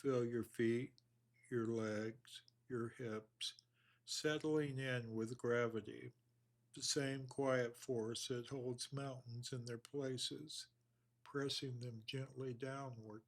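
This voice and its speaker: A man leading a guided body scan meditation in an unhurried, measured, soothing manner with long pauses. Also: mid-range voice, fairly even intonation.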